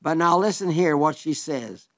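A man's voice: on the high side, moderate pace, a bit bright. An elderly man preaching a folksy radio sermon, reading listener letters with chatty asides and small stumbles. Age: older.

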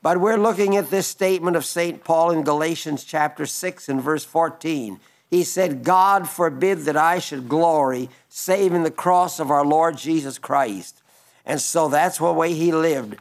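A man in his nineties giving a broadcast sermon, reading listener letters in a gentle, rambling way with frequent restarts. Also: fairly high pitch, measured pace. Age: 90s. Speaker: radio preacher.

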